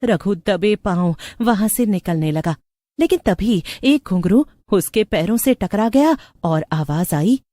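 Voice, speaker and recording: A woman's voice: medium pitch, lively intonation, a little slow, mellow, dry recording, studio quality. A woman narrator reading a scripted story.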